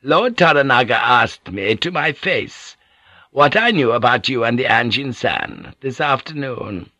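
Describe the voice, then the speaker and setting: Man voicing a shrewd, bright-toned, deferential Japanese woman. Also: medium pitch, unhurried, no room sound, pitched above the performer's own voice, full character voice. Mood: bitter, urgent. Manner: flattering, insistent, humbly courteous. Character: shrewd, deferential Japanese businesswoman pleading with a lady.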